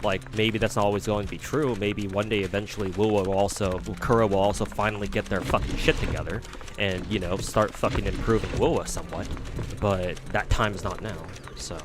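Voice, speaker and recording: A man's voice: lowish voice, steady tone, a little fast, background noise. A man streaming a game, chatting casually in a rambling, profane way full of "like" fillers. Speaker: gaming streamer. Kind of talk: casual livestream chat while playing. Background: game music.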